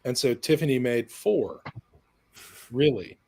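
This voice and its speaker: A man's voice: mid-range voice, animated, unhurried, a little mellow, no room sound. A middle-aged man speaking as a guest panelist in a casual discussion.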